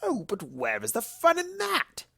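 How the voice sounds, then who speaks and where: A mischievous cartoon chaos creature, male and sly, voiced by a man. The delivery is leisurely and teasing, lifted in pitch and full of wide swoops, like a storybook trickster. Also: huge pitch swings, measured pace, gravelly, a bit bright, dry recording, studio quality, full character voice.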